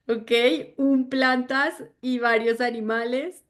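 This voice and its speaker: A woman in her thirties from Argentina, leading an online sales training in a friendly, chatty way with many fillers. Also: high voice. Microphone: video call.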